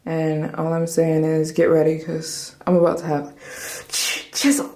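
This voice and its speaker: A woman's voice: low-pitched, completely flat delivery, a little slow, darkish tone. A woman in her twenties vlogging casually to camera, bubbly and joking, laughing often and jumping between topics. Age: 20s. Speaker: travel vlogger.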